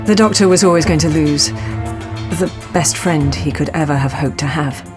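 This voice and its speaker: A woman's voice: deep voice, normal speed, music in the background, close to an everyday voice. A woman playing a wry archaeology professor narrating her own adventure in an audio drama. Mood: sombre, reflective. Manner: wry, storytelling. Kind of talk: dramatic first-person scripted narration.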